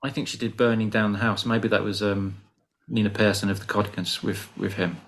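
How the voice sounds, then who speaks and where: A British man, guest co-host on a podcast, talks over a video call. His voice is bright and forward, and his speech is hesitant and rambling, full of restarts. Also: on the low side, flat delivery, a little fast. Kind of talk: casual podcast chat.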